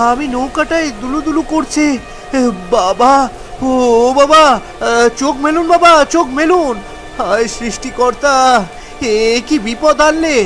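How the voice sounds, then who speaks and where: A man's voice: extremely high pitch, fairly bright tone, exaggerated voice. A man playing a timid, henpecked poor fisherman in a cartoon, plaintive and melodramatic. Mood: panicked. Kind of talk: cartoon dialogue. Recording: music behind the voice.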